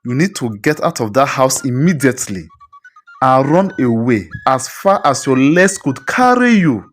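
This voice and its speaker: A woman's voice: very deep voice, very animated, normal speed, soft background music, voice pushed down. A woman voicing a stern male pastor in a storybook tale, grave, commanding and urgent. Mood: urgent warning. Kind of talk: storybook character voice.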